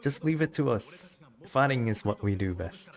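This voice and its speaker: A man's voice: mid-range voice, animated, moderate pace, natural voice. A man voicing a loyal, steadfast samurai soldier from a game, earnest and formal. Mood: resolute.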